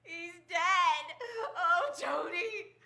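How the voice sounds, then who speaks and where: An actress playing a terrified, shaken wife in a breathless, melodramatic radio drama style. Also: female voice, very high voice, drawn-out words, sharp tone, exaggerated voice. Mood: panicked, pleading. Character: terrified wife, shaken after fighting off an attacker. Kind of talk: stage radio drama acting. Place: theater stage. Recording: echoey room with noise and clicks.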